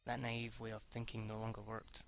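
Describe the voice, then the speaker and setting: A man reading a visual novel aloud as an amateur, haltingly, stumbling and correcting himself with the odd swear word as an aside. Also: on the low side, completely flat delivery, noisy background. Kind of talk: amateur read-through of a story.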